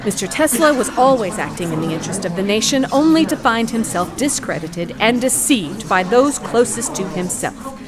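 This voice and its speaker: A woman's voice: on the high side, lively intonation, moderate pace, over music, lightly acted. A woman acting as a poised, combative attorney in a courtroom audio drama, speaking formally and eloquently with a pointed edge. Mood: combative, indignant.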